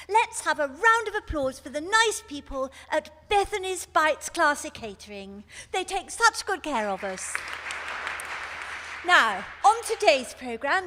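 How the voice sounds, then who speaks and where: A woman's voice: sits high, fairly bright tone. A woman playing a naive, genteel older hostess of a retirement community in a live radio comedy. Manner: gushing, genteel. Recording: reverberant hall stage recording.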